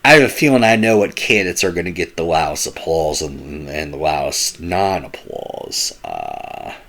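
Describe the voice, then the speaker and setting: A man with a low voice that swings widely in pitch as he talks. He gives casual, opinionated political commentary, stumbling and restarting his phrases often. His voice sounds slightly hoarse. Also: moderate pace, a little hiss or hum. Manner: animated, opinionated, with frequent restarts.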